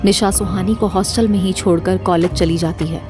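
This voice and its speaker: A woman's voice: on the low side, fairly even intonation, fairly quick, music in the background. A woman narrating a scripted dramatic story as the narrator of an audio series.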